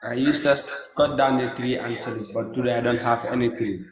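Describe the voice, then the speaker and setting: A man from Gambia, a guest on a livestream, telling his day in simple, halting sentences. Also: medium pitch, steady tone, a crowd in the background, rough recording. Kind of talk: casual chat.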